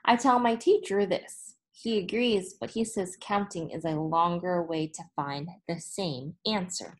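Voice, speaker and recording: A woman in her thirties, a teacher reading a storybook aloud to children with deliberate phrasing and expressive emphasis. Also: fairly low pitch. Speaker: elementary school teacher. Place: small indoor room with whiteboard.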